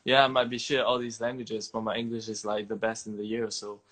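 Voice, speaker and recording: A man's voice: fairly low pitch, little pitch movement, quick pace, fairly bright tone. Malaysian-born young man hosting a livestream. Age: 20s. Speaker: host. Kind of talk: casual livestream interview.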